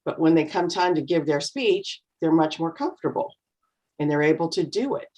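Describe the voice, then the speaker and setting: A woman with a low, deep voice gives advice to English learners on a video call. She explains patiently, encouraging them to practice, and sometimes acts out a nervous speaker. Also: expressive, normal speed, mellow, rough recording. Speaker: co-host and speaking coach. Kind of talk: online English class coaching.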